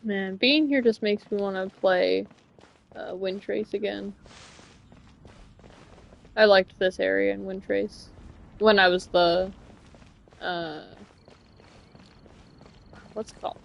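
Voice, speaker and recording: A woman's voice: mid-range voice, steady tone, slow pace, gravelly, pauses often, muffled recording. A woman game streamer giving casual live commentary, musing aloud to herself as she plays. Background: faint game music.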